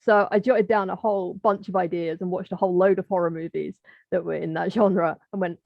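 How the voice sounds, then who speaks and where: A woman's voice: lowish voice, fairly even intonation, a little fast, gravelly, no room sound. A woman guest telling a casual, self-deprecating story in an informal way. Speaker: writing course graduate, guest. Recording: dull, dry lo-fi call audio.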